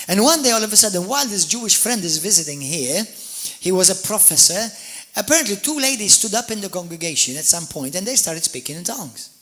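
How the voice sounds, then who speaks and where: Bright high-voiced pastor preaching. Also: male voice. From Malta. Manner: animated storyteller, repeats and restarts words, addresses the congregation directly. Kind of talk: sermon.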